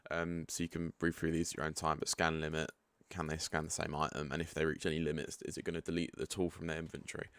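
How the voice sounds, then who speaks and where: A man with a croaky, just-woken-up voice giving a relaxed, chatty walkthrough of a software setup. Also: extremely low pitch, fast talker, few pauses, no echo. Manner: relaxed and chatty, frank asides. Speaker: product developer giving a setup tutorial. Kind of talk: casual screen-share walkthrough.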